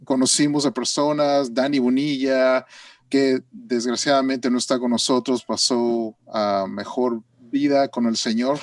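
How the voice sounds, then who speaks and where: Halting Mexican male host, sits back from a dry mic. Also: mid-range voice, flat delivery, dry recording, distant mic. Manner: hesitant, many fillers and restarts. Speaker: interview host. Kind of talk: casual interview chat.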